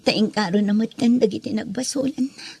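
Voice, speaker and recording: A woman's voice: a little high, fairly quick, vocal fry, warm tone, breathy edge. A woman playing a sorrowful, pleading mother in an Ilocano radio drama, with an Ilocano Filipino accent and earnest delivery.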